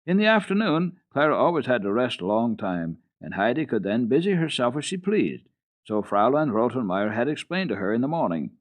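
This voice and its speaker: A male storyteller with a mid-pitched voice that rises and falls freely. He reads children's classics dramatically, as for a radio program, in an expressive, old-fashioned manner. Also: brisk. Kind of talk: dramatic narration of children's literature.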